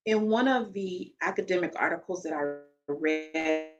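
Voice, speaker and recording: A woman with a deep, loud voice giving an educational talk, emphatic and often checking in with "okay?". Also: a little slow, soft background music. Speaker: video commentator. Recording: muffled, distant video playback.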